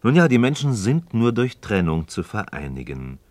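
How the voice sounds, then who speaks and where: Deep, thoughtful, doubting listener. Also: male voice, sing-song, moderate pace, a little mellow, no echo. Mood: reluctant agreement. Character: thoughtful, hesitant male interlocutor, conceding yet doubting. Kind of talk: German literary radio play dialogue, formal stage diction.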